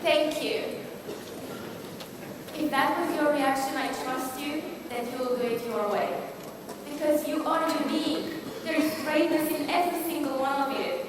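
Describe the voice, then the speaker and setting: A woman's voice: high voice, fairly even intonation, measured pace, background noise. A woman in her forties from Romania, with a Romanian accent, giving an emphatic motivational business talk and rallying the audience with questions. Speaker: business speaker. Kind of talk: motivational stage presentation. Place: large conference hall. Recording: echoey hall from far off.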